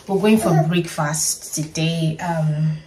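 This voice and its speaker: A woman's voice: low-pitched, steady tone, slow pace, recorded from a distance, background noise. Playful woman in her thirties. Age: 30s. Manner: playful, chatty, laughs often. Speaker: vlogger. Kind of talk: casual get-ready-with-me vlog. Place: small bedroom at home.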